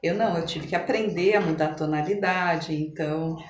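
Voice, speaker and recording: A mature Brazilian woman chatting as a podcast guest, telling stories in an animated but halting way that circles back on phrases. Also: deep voice. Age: middle-aged or older. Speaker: podcast guest, doctor and amateur musician. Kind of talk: casual conversational storytelling in an interview. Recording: echoey room with some background noise.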